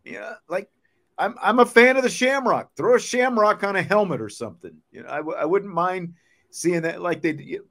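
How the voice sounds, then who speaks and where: A man's voice: high voice, animated, moderate pace, creaky, fairly bright tone. A middle-aged man hosting a sports show in a casual, conversational style. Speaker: sports talk show host.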